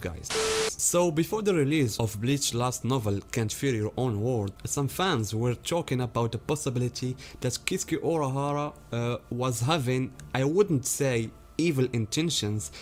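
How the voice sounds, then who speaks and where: A man with foreign-accented English, hosting his own channel with scripted video commentary and speaking directly to his viewers. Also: mid-range voice. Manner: explanatory, addresses viewers directly. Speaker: anime theory YouTuber.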